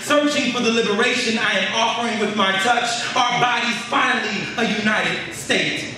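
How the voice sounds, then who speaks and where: A man's voice: extremely high pitch, moderate pace, bright, forceful tone. A man delivering a spoken word poem in an impassioned, declamatory manner, posing rhetorical questions as a poet performing live. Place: live poetry reading in an echoey room. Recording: very echoey room, distant mic, clear noise.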